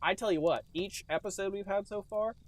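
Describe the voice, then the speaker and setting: A man with a fairly high voice hosts a podcast from a small closet. His talk is casual and comic. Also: brisk, dry recording. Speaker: podcast host.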